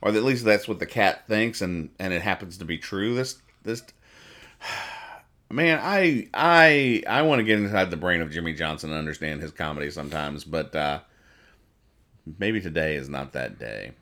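A man hosting a casual comedy podcast, describing comic strips aloud and explaining their jokes in a rambling, halting, mock-serious way. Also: deep voice, expressive, bright, forceful tone. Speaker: podcast host reading newspaper comics.

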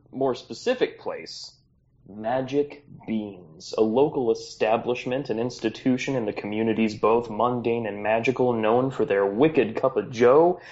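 A man's voice: mid-range voice, a little mellow, lo-fi sound. A man hosting a tabletop game podcast as game master, narrating scenes with jokey asides.

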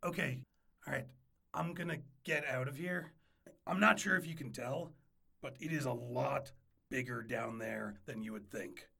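A man's voice: medium pitch, normal speed, vocal fry, pushed and bright, slightly rough, heavily acted. A man playing a nervous, pleading ex-boyfriend who stammers and repeats himself in a comic audio drama. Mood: anxious rambling.

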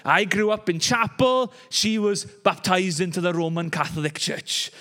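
A man's voice: high voice, lively intonation, normal speed, sharp tone. A young Welsh man, a pastor, preaching a conversational sermon with joking asides. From Wales. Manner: chatty, joking asides with laughs.

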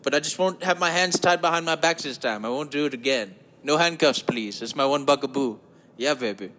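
Man putting on a high, campy German guy. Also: fairly quick, bright, forceful tone. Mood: flirty, eager. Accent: exaggerated German.

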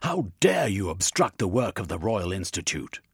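A man voicing an excitable young gentleman scholar who bursts out indignantly in a read-aloud story. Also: low-pitched, normal speed, creaky, slightly rough, dry recording, studio quality, full character voice. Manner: indignant, anguished outbursts.

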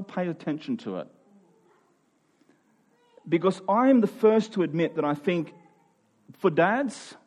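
A man in his forties preaching a sermon, earnest and emphatic, sometimes dropping to a quiet voice. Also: mid-range voice. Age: 40s. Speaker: pastor.

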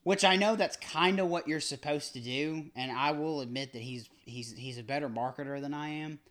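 A man's voice: fairly high pitch, moderate pace, a bit bright. A man hosting a casual podcast interview, joking and rambling with playful asides. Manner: joking, rambling, self-deprecating. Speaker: podcast host.

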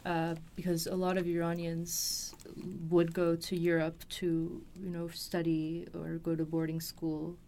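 A woman in a fairly low, very even voice. She tells a personal story as an interview guest, casually and with some hesitation, finding her words as she goes. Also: unhurried, hardly any pauses, slight background noise. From Los Angeles, USA. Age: 20s. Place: recording studio.

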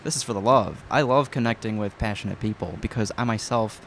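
A man's voice: fairly low pitch, fairly quick, a bit bright, slight background noise. A male podcast host chatting casually, laid-back and friendly, with stumbling phrasing.

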